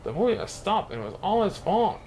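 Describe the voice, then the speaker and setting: A man reading a picture book aloud in an expressive way, now and then stumbling over words. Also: high-pitched, brisk, a little hiss or hum, rough recording. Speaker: children's book reader.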